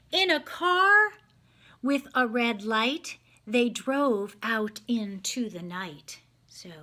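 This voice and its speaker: A woman's voice: on the high side, pushed and bright. A woman reading a picture book aloud to children, expressively, stopping to ask them simple questions. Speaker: children's read-aloud storyteller.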